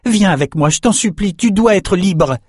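A man's voice: sits high, lively intonation, moderate pace, darkish tone, breathy edge, no echo, voice pushed up. An earnest, exalted young swordsman from a fantasy audiobook, acted by a man. His voice sits raised and pleads with urgency. The sound is close and dry. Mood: urgent pleading. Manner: urgent, imploring delivery. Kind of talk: audiobook character acting.

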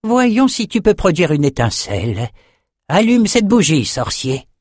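A man's voice: high-pitched, big pitch swings, measured pace, darkish tone, dry recording, clean studio sound, voice pushed up, exaggerated voice. A man voicing a smug, menacing male sorcerer in a fantasy audiobook, theatrical and taunting.

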